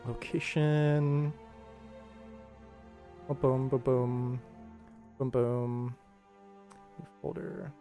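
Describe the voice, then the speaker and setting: A man's voice: medium pitch, flat delivery, extremely slow, pauses often, dark tone. A man giving casual livestream commentary, informally thinking aloud as he works on an animation production. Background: music.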